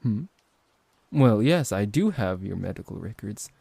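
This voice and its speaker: A man acting out a smooth-talking, possessive vampire professor in an intimate ASMR roleplay, politely condescending and teasing, close to the microphone. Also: fairly low pitch, animated, measured pace, warm tone, no echo, full character voice. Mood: persuasive, polite. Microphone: close microphone, dry.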